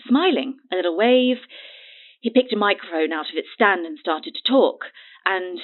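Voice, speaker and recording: An actress playing a frightened, paranoid woman recounting a horror in halting speech over a phone in an audio drama. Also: on the low side. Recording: thin, phone-like band-limited sound.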